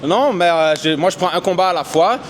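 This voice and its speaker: Confident fighter, slang-heavy. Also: male voice, sits high, expressive, fairly quick, a little hiss or hum. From Canada. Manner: confident, mixes English slang into French. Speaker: MMA fighter. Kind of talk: casual interview answers. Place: boxing gym.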